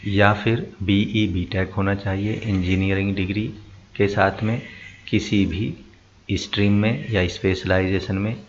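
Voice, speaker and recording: Male Indian explainer voice, very deep, halting read of official notices, dull lo-fi sound. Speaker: presenter of job recruitment notices. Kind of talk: informational explainer, reading out notice details. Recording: muffled lo-fi audio with faint noise.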